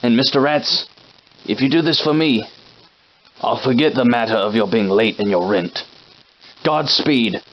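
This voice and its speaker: A genteel male shopkeeper from a period horror game, acted by a man, speaks in ornate, antiquated sentences. His middling-pitched voice is theatrical and courteous, with a schemer underneath. The audio is muffled, with faint noise. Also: measured pace, pauses often, close to an everyday voice. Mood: earnest, courteous. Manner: formal, archaic, florid wording. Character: genteel, verbose shopkeeper hiding a scheming villain's heart. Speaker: voice actor in a game mod.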